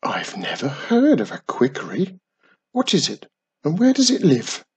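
A storyteller voicing Wizardy Witch, a cheerful young witch who is proud of how fast she is, in a high, quick, eager voice. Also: huge pitch swings, darkish tone, slightly rough, exaggerated voice. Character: cheerful young witch, boastful about her speed. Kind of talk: storybook reading.

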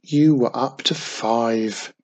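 A storyteller voicing a young, excitable witch girl from a children's storybook, eager and squealing. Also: mid-range voice, slow pace, slightly rough, full character voice. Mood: reassuring.

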